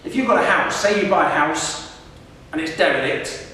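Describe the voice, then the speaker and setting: Guy lecturing students, kinda high voice, sounds far off in a big echoey hall, faint background hum. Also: normal speed. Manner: lively, engages the audience with questions. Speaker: professor giving a lecture to students. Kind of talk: public lecture.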